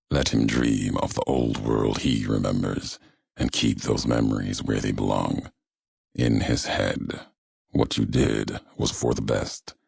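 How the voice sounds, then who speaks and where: A man playing a grave, brooding wasteland wanderer in a video game, gravelly and ominous, speaking in slow, deliberate fragments. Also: exaggerated voice. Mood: grim, judging. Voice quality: very deep, gravelly. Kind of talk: video game character dialogue. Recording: heavy echo on the voice.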